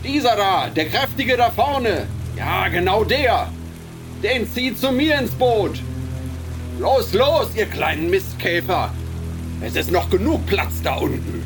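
An actor playing a gruff ship's officer who barks orders and leers at the children in a radio drama. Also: male voice, high voice, animated, takes it slowly. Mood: leering. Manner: barking orders, leering. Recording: music and rain behind.

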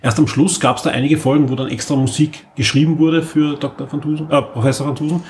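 A man's voice: a little high, steady tone, fast talker, a little mellow, a little hiss or hum. A man with an Austrian accent hosting a casual podcast, rambling along with enthusiasm. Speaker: podcast host.

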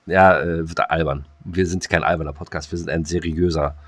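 A man's voice: deep voice, steady tone, normal speed, no echo. A man co-hosting a podcast in casual banter, colloquial and teasing with a mock-serious streak. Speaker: podcast co-host.

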